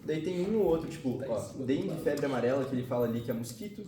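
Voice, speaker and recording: A man's voice: medium pitch, lively intonation, fast talker, distant mic, a crowd in the background. A male biology teacher, giving a casual, slangy classroom lesson with occasional swearing and frequent check-ins with the students. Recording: echoey classroom picked up from afar, noisy.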